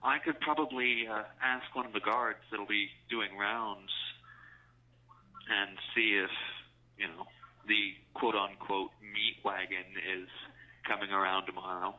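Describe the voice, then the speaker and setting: A man chatting casually and intimately on a recorded jail phone call, halting and flirtatious. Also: on the low side, steady tone.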